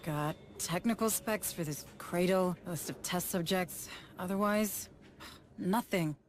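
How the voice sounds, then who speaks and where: A woman's voice: on the low side, measured pace, slight background noise, lightly acted. A woman playing a tough, businesslike team commander in a game. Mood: focused, businesslike.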